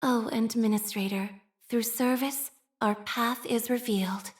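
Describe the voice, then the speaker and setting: A woman voicing a devout, shy young priestess in a video game, speaking formally and with measured, prayer-like phrasing and a machine-minded reserve. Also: a little high, steady tone, lightly acted. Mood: solemn, reverent. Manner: formal, measured, liturgical phrasing with hesitant shyness. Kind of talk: scripted game character voice lines.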